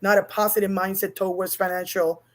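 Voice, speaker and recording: A woman's voice: mid-range voice, little pitch movement, brisk. A woman from Puerto Rico with a Puerto Rican accent, a guest talking earnestly in long runs with restarts. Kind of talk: casual panel chat.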